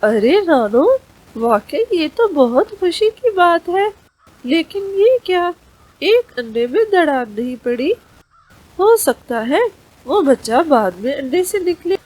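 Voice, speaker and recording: A woman's voice: extremely high pitch, very animated, slow pace, a little mellow, voice pushed up, heavily acted, poor audio. A woman voicing a kindly, reassuring father rooster in a cartoon storybook tale. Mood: delighted, reassuring.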